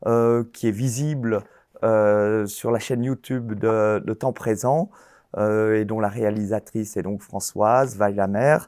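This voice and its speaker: A man hosting a film festival interview, speaking formally and haltingly, with many pauses, fillers and restarts. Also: on the low side, fairly even intonation, darkish tone, no echo, clean studio sound.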